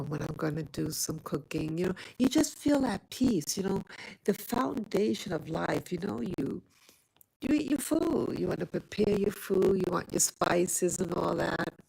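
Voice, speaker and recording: Slow, gravelly-voiced woman vlogger. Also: fairly low pitch, darkish tone, poor audio. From New York City. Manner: rambling, many fillers and restarts. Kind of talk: casual chat to viewers. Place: home in Rwanda.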